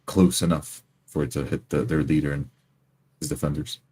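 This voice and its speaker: A man playing a grave, distrustful adventurer who speaks in a deliberate, brooding way. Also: extremely low pitch, fairly even intonation, dark tone, breathy edge. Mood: resolute.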